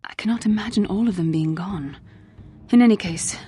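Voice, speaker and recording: An actress playing an earnest, solemn young Jedi warrior in a video game, with formal, grave delivery. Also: female voice, medium pitch, fairly quick, warm tone, soft background music, close to an everyday voice. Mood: grave, sorrowful. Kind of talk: dramatic video game acting.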